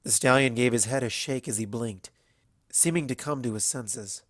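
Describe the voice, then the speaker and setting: A male narrator reads fiction with deliberate, dramatic phrasing. His voice sits in a middle register, and the recording is close and dry, with no room sound. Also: medium pitch, a bit bright, no room sound. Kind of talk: scripted story narration.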